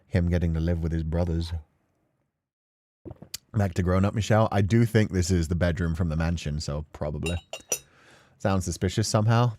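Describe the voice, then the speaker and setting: A man's voice: deep voice, normal speed, pauses often, dark tone, a little hiss or hum. A man hosting a let's play of a visual novel, chatting casually and animatedly with lots of fillers. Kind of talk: casual game commentary.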